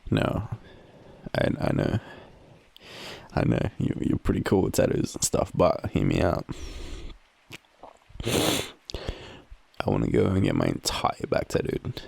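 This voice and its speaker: A young Australian man in a drowsy, halting, casual boyfriend roleplay, murmuring softly as he rambles through a story with slangy swearing. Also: sits low, huge pitch swings, vocal fry, breathy edge, no echo. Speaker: audio roleplay performer playing a boyfriend. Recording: faint background ambient noise.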